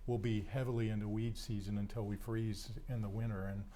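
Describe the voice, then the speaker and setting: A man's voice: fairly low pitch, little pitch movement, fairly quick, mellow, a little hiss or hum. A man, a doctor explaining things plainly as a guest on a radio show in the studio. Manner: easygoing, plain-spoken, explains with everyday examples. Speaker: ear, nose and throat doctor.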